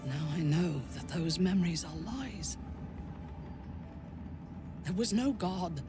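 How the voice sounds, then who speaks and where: A proud female dark elf paladin from a video game, ruthless and bent on vengeance. She speaks slowly and imperiously, with quiet menace in every phrase. Music plays clearly beneath the voice. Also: lowish voice, expressive. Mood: cold vengeance. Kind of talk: video game character acting.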